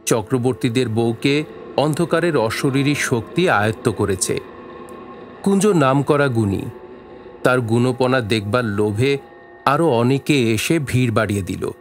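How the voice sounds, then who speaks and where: A man reads scripted story narration for an audio drama. His voice sits at a middle pitch, with faint music playing behind it.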